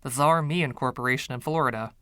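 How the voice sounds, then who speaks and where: A man's voice: medium pitch, a little fast, pushed and bright, smooth, dry recording, clean studio sound, lightly acted. Man playing mild, defensive music teacher. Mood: explaining.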